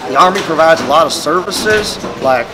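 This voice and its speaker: A young man from California, an Army recruit giving relaxed, earnest interview answers with fillers and restarts. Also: a little high, normal speed. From California, USA. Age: young adult. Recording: noisy room with air conditioning hum. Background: air conditioning and room noise.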